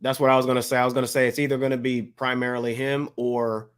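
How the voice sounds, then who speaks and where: A man's voice: mid-range voice, flat delivery, fast, clean tone. A man talking casually as a panelist on a hip hop talk show, using slang and repeating phrases for emphasis.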